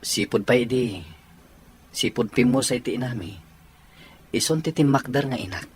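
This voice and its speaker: A man's voice: medium pitch, moderate pace, slight background noise, natural voice. An actor playing an earnest, worried young man about to marry, in a radio drama. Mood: earnest, resigned.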